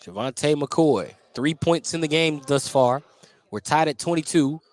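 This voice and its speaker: An American man doing live play-by-play basketball radio commentary, animated on big plays and conversational in between. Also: fairly high pitch, a little slow. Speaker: play-by-play sports announcer. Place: indoor basketball gym during a game. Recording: clearly noisy gym background.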